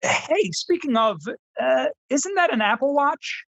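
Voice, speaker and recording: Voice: high voice, lively intonation, unhurried, gravelly, a bit bright, full character voice. A performer playing a young, exasperated Zoomer reviewer in comedy radio banter, talking casually and in slang. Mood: skeptical.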